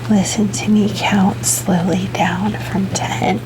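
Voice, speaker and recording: A woman doing an ASMR doctor roleplay in a soft, breathy whisper, gentle, soothing and reassuring. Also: mid-range voice, flat delivery, takes it slowly, background noise. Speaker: ASMR creator playing a doctor. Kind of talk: ASMR personal-attention roleplay.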